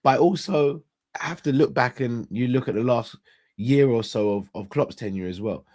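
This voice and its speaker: A young man hosting a casual live-stream chat, hoarse and congested, talking straight to his viewers and often restarting his phrases. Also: medium pitch, moderate pace, darkish tone. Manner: chatty, addresses viewers directly, restarts phrases. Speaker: host.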